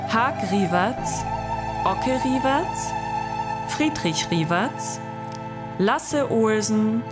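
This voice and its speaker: Female announcer, North Frisian accent, measured credits read, mid-range voice under prominent loud music. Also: mid-range voice. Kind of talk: scripted credits reading.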